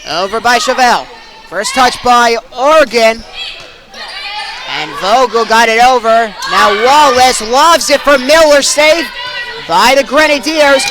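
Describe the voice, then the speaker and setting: A young man calling live volleyball play-by-play, excitable and projecting over the action. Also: very high voice, measured pace. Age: young adult. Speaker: play-by-play sports announcer. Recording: crowd and gym noise behind.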